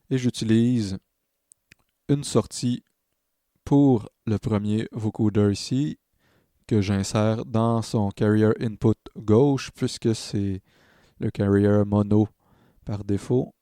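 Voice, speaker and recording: A man narrating a step-by-step software tutorial as a tutor, hesitant and thinking aloud as he demonstrates. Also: lowish voice, dry recording, clean studio sound.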